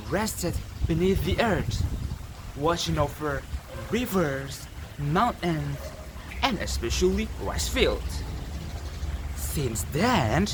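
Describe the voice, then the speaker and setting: A teenage student from Indonesia with an Indonesian accent tells a folktale dramatically, with deliberate, emphatic pauses. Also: medium pitch, background noise. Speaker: student storyteller. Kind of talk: dramatic oral storytelling for a competition.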